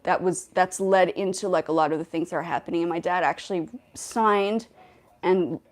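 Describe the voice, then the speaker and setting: A woman's voice: lowish voice, normal speed. A young woman hosting a casual livestream, talking in a rambling way with many fillers and restarts. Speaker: livestream host.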